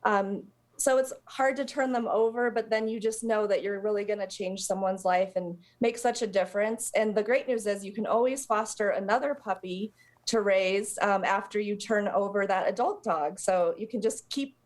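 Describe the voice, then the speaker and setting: A woman's voice: medium pitch, normal speed. An American woman speaking as a guest in a live television interview, explaining volunteer work in a conversational way for a charity. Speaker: interview guest, service dog charity representative.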